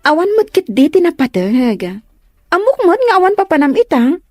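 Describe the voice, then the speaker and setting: Aktres a kas managsutil a gayyem, nangato ti timekna. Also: female voice, very high voice, sing-song, moderate pace, warm tone, dry recording, clean studio sound. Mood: teasing, friendly. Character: chatty, teasing young female friend and confidante. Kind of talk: Ilocano comedy radio-style drama acting.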